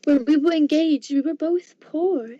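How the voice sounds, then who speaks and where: A gentle, sorrowful young woman as an actress plays her speaks slowly in a high voice, as in a radio play. The sound is dry and lo-fi. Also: no echo. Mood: sad, resigned. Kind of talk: amateur radio drama acting. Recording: dull lo-fi amateur recording.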